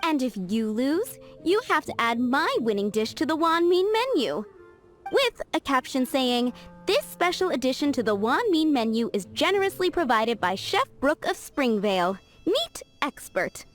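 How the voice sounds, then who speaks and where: A haughty chef, high and expressive, scornful of anything new. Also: female voice, moderate pace, soft background music. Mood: smug. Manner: haughty, sharply critical. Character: proud female chef, stickler for tradition. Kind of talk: video game dub acting.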